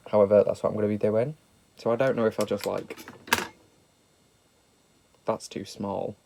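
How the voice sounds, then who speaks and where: A man's voice: mid-range voice, vocal fry, warm tone, slight background noise. Young British man, nasal from a cold, camp and sweary, self-deprecating vlog chat. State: slightly nasal from a sniffle. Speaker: makeup vlogger. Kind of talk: casual makeup tutorial chat.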